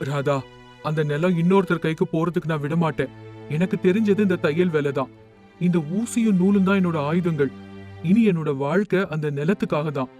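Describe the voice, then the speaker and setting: Casting: man to play earnest, thrifty village tailor for animated story; high-set, declamatory voice over light music. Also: fairly quick, natural voice. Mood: resolute. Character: earnest village tailor, devoted son turned strict miser. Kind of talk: animated moral story dialogue. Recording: music under the voice.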